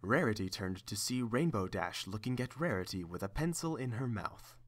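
A man's voice: fairly low pitch, animated, normal speed. A man narrating a scripted story.

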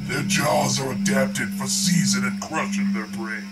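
A man voicing a suave, cruel crime boss in a comic dub, measured, formal and ominous. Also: medium pitch, loud music behind the voice, natural voice. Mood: menacing. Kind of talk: comic-book dub drama.

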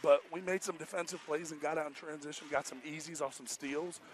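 A man's voice: a little high, steady tone, a little fast. A man with an American accent, a coach talking casually and emphatically in a post-game interview, repeating his points in colloquial speech. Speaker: basketball coach. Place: indoor basketball arena, courtside. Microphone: headset.

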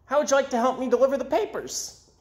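A man voicing a friendly, folksy newsboy from a children's storybook. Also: fast talker. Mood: friendly, perky. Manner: perky, higher-pitched, folksy. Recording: roomy, echoey indoor sound.

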